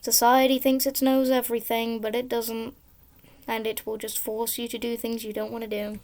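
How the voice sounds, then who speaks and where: A woman with a high voice talks through her gameplay, chatty and wandering from one topic to the next. Her pitch sits high but stays fairly level, without much rise and fall. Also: flat delivery, normal speed, a little mellow. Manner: rambling and chatty, drifting off topic. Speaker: gaming YouTuber. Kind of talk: casual commentary while playing a game.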